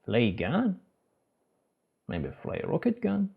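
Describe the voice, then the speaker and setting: A man recaps a game's story in halting, non-native English and keeps wandering off into joking asides. His voice sits a little high for a man and jumps around a lot as he talks. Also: wildly swooping pitch, vocal fry, slightly rough, phone-quality sound. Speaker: gaming channel host. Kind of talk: game story recap with commentary.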